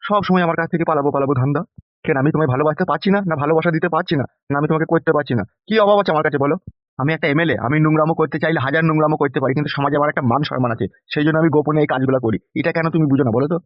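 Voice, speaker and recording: A man's voice: a little high, steady tone, very fast, dry recording, muffled recording, natural voice. A man voicing a corrupt, lecherous, pompous politician and gang boss in a comedy cartoon. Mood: pleading, insistent. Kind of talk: animated comedy cartoon dialogue.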